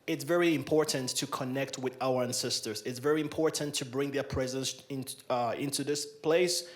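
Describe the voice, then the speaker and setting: A man's voice: medium pitch, fairly even intonation, normal speed. A young man from Ghana leading a libation ceremony in a large hall, speaking into a handheld mic with solemn, repeated invocations. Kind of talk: ceremonial address.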